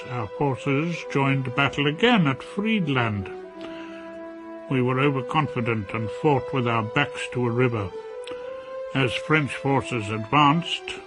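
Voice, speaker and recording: A British man acting as a grave historical narrator in a radio drama, delivering scripted narration. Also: a little high.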